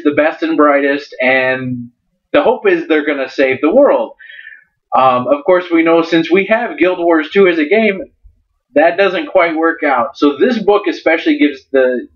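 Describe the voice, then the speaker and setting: A man giving a casual, halting book review as the presenter. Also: fairly high pitch. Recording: dull lo-fi recording.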